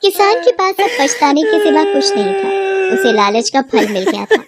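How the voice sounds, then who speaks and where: A woman's voice: very high voice, sing-song, moderate pace, heavy background noise. A woman narrator reading a scripted moral tale for children in a storytelling style.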